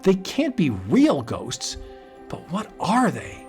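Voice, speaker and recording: A man's voice: sits high, huge pitch swings, takes it slowly, darkish tone, soft background music, pitched above the performer's own voice, full character voice. A man voicing a brash young male police detective in an old cartoon, breezy and wisecracking. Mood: puzzled.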